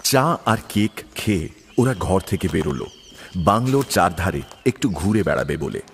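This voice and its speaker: A man's voice: deep voice, big pitch swings, normal speed, slight background noise. A man narrating a scripted audio story.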